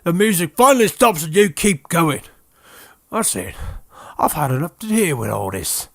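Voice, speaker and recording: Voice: mid-range voice, very animated, moderate pace, a bit bright, dry recording, studio quality. A performer voicing an irritable middle-aged uncle who blusters and scolds. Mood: exasperated, blustering.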